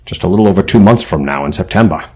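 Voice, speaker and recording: An actor playing a grave, knowledgeable team leader in an audio adventure drama, with a measured, authoritative way of explaining. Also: male voice, low voice, lively intonation, darkish tone. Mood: explanatory, grave. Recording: faint background noise.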